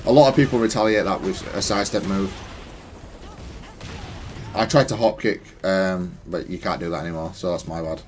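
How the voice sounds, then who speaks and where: A man's voice: sits low, fairly even intonation, moderate pace, long pauses, a little hiss or hum. A man giving casual, analytical gameplay commentary in a matter-of-fact, self-critical way. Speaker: gaming content creator.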